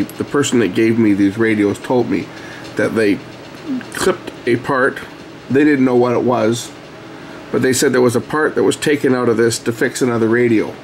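A middle-aged man talks through a hands-on repair in a conversational, explanatory way. His pitch is average for a man. The recording is made at an indoor workbench, with faint noise behind the voice. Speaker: repair video host. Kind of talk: casual hands-on repair commentary. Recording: noisy room recording.

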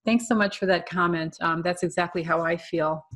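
Woman art teacher, lowish voice, informal and conversational, talking through slides for an online class with frequent asides. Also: moderate pace, a bit bright.

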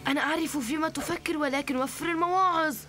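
A woman voicing a mischievous, excitable cartoon cat boy in a dub, talking in a cheeky, dramatic way. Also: high voice, fast talker, soft background music.

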